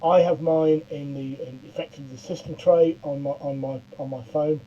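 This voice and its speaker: Male tutorial presenter, middle-aged, very mellow and slightly high-pitched, stumbles and repeats words, muffled lo-fi sound. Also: steady tone, slight background noise. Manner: halting, often stammers and repeats words. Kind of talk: informal product demonstration.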